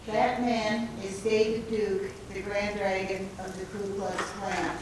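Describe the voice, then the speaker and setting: A woman's voice: medium pitch, little pitch movement, slow. Woman, audience remark, earnest, echoey room, distant, background noise. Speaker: audience member adding remarks. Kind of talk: short personal remarks to an audience.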